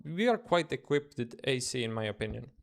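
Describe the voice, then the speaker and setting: A man's voice: medium pitch, big pitch swings, a little fast, gravelly. A man with an Estonian accent, a native Estonian speaker, chatting casually in loose English on a live trading stream from his room, thinking aloud and answering chat. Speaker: day trader streaming live.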